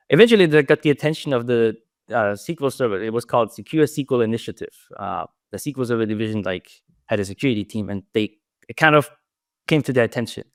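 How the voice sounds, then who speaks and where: A man's voice: medium pitch, no echo, clean studio sound. A middle-aged man from Austria with an Austrian German accent, a native German speaker, answering interview questions thoughtfully and trailing off at the ends of phrases. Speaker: security researcher guest.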